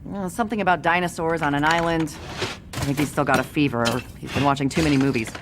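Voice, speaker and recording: An actress playing a brisk, confident, sarcastic female news reporter in a video game, with a sharp, wry manner. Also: low voice, fairly even intonation, noisy background, natural voice. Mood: impatient, wry. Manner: sharp, wry, quick to scold. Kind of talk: animated video game acting. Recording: loud music behind the voice.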